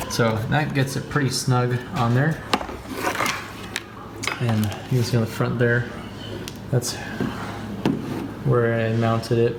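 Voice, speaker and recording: Male DIY video host, plain and low-key, creaky voice with little inflection, casual walkthrough over noticeable background noise. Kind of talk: casual hands-on tutorial walkthrough.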